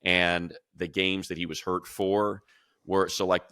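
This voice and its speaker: A man with a deep voice, recorded close and dry, gives unscripted football analysis. He is conversational and opinionated, working through his thoughts out loud like a sports radio regular. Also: level tone, measured pace, smooth, dry recording. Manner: conversational, opinionated, thinking aloud. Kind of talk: casual unscripted football draft commentary.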